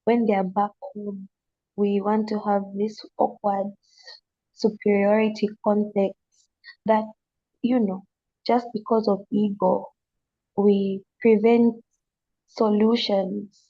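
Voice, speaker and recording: Zambian woman w/ a slight accent, earnest panel talk, kinda gravelly and flat, dull lo-fi sound. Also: medium pitch. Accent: slight non-Zambian accent from family. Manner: earnest, thoughtful, halting with restarts. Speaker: panel speaker. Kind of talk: panel discussion.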